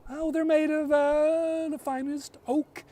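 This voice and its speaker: A man's voice: very high-pitched, little pitch movement, slow, mellow, distant mic, voice pushed up. A man voicing an eager young village woman who bluffs nervously and hesitantly in a told folk tale. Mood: nervous, flustered.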